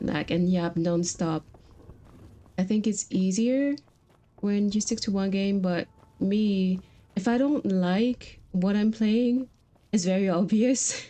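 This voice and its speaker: A woman's voice: mid-range voice, dark tone. A woman streamer talking casually and informally while she plays a video game, chatty with her viewers. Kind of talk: casual livestream chat while playing.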